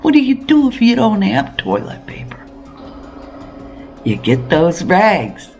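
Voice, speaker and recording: A woman's voice: mid-range voice, wildly swooping pitch, takes it slowly, a little mellow, soft background music. A woman hosting a homesteading video, talking straight to viewers in an earnest, emphatic way with rhetorical questions. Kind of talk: direct-to-viewer preparedness talk.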